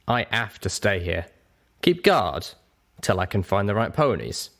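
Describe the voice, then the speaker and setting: A man's voice: lowish voice, sing-song, a little slow, fairly bright tone, full character voice. A man as a weary, grave old dragon guardian. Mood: morose. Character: ancient lonely male dragon guardian, weary, blunt. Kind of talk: audiobook character voice.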